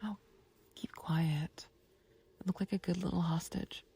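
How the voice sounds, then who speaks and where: A woman playing a smug, taunting, playfully flirtatious villain in an ASMR roleplay, with a husky, breathy low voice and a teasing, unhurried, condescending manner. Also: fairly even intonation, mellow, exaggerated voice. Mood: smug, threatening. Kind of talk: ASMR roleplay audio drama.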